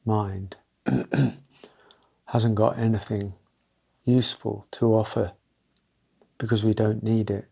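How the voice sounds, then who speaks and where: A man leading a guided meditation, talking gently and unscripted in short, halting phrases with pauses between them. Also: on the low side, little pitch movement, creaky, slightly rough.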